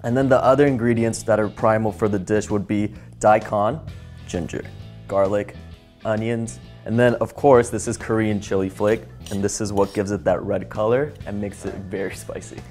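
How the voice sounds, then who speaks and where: A man's voice: fairly low pitch, moderate pace, faint music behind. Young American man teaching a recipe. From Los Angeles. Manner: relaxed, friendly explaining while chopping. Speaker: kimchi workshop teacher. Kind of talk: casual cooking demonstration. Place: small home kitchen.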